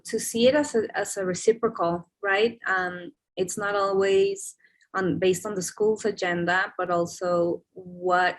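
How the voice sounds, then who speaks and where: A woman's voice: mid-range voice, poor audio. A woman facilitating a video call discussion, talking in hesitant, searching phrases with many fillers. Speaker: session facilitator.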